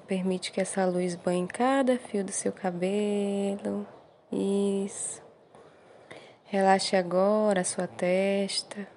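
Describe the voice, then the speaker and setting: Slow, soothing Brazilian woman guiding meditation. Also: medium pitch, a little hiss or hum. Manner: soothing, gentle, unhurried with short encouraging phrases. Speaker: meditation guide. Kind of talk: guided meditation.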